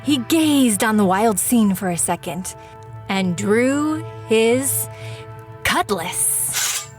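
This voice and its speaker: A woman's voice: a little high, expressive, drawn-out words, over music. A woman acting in an audio drama as an anxious, hesitant writer who also tells the story herself. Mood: slow, dramatic reading. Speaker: voice actor playing the lead in an audio drama.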